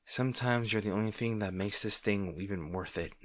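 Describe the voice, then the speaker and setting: Low-voiced, wry villain rival, acted by a man. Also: brisk, a bit bright, dry recording, studio quality, natural voice. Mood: earnest, hesitant. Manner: hesitant, conversational, sardonic. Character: male flying supervillain rival, wry, insecure, secretly fond. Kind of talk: roleplay audio drama, addressing the listener.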